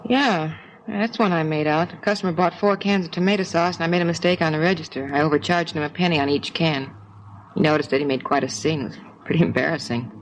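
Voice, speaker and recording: A man plays a plain-spoken shopkeeper in a 1950s radio police drama. His voice is on the high side and brisk, understated and obliging, with faint noise on the old recording. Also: fairly even intonation, close to an everyday voice, poor audio. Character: plain-spoken delicatessen shopkeeper, mildly apologetic witness.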